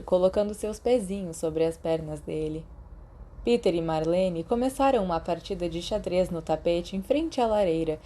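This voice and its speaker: Mellow Brazilian woman narrating fiction. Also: lowish voice. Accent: Brazilian Portuguese. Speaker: podfic narrator. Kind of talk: scripted fiction narration with acted dialogue.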